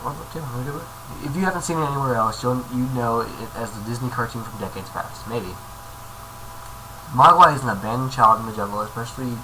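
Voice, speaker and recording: A man casually reading a horror story aloud, stumbling over words and adding jokey asides. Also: mid-range voice. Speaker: creepypasta reader, video host. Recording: noisy amateur home recording.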